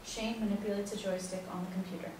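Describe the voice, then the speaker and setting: A woman's voice: medium pitch, flat delivery, fast. A woman reading scripted stage directions as the narrator of a play. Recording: very echoey room, distant mic, noisy.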